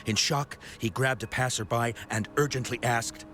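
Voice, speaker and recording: An actor playing a theatrical storyteller who spins old legends for a video game, unhurried and suspenseful. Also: male voice, medium pitch, steady tone, music in the background, lightly acted. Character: male storyteller spinning old mountain legends, theatrical and mysterious. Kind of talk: dramatic storytelling to listeners.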